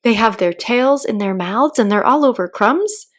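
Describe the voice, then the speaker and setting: A woman's voice: fairly high pitch, animated, moderate pace, voice pushed up. Woman playing a polite, curious little girl. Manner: polite, tentative, wondering.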